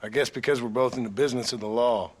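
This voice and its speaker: A man's voice: medium pitch, steady tone, fast, slightly rough, natural voice. A man playing a laconic, reticent Old West gunman, formally polite and dry, in a game cutscene. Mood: curt, dry. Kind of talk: video game cutscene acting.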